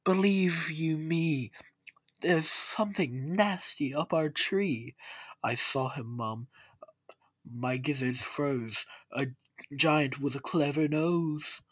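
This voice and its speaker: A man voicing a cheeky, naive and excitable young boy with an eager, exclamatory manner in a storybook rhyme. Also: slow pace, dry recording. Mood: frightened. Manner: eager, exclamatory, higher than narration.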